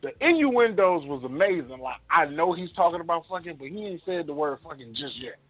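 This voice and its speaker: A man's voice: on the high side, expressive, a little fast, no room sound. A man co-hosting a radio show in casual, opinionated banter, blunt and slangy, repeating phrases for emphasis. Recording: tinny, phone-like internet radio audio.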